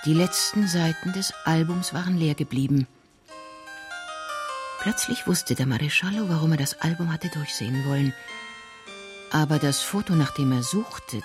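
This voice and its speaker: Male radio-drama narrator over music. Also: on the high side.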